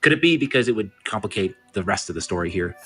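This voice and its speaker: A man's voice: on the low side, animated, quick pace, a bit bright, husky. A man reading a scripted explainer in a pointed way, posing rhetorical questions to the listener. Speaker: video commentator. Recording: music bed under the voice. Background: music.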